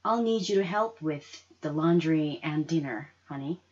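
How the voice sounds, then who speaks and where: A woman voicing a tired, tender mother in a story read aloud, gentle and reassuring. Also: deep voice, animated, moderate pace, lo-fi sound. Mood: regretful.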